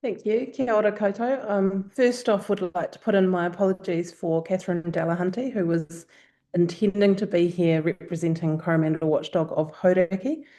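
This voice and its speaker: A woman community campaigner introducing herself on a webinar in a composed, measured way. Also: lowish voice, steady tone, dark tone.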